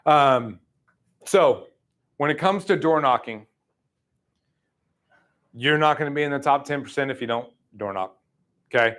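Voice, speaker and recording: A man's voice: medium pitch, a little slow, long pauses, a bit bright. A man in his thirties giving a live sales training talk in a room, casual and chatty with many fillers.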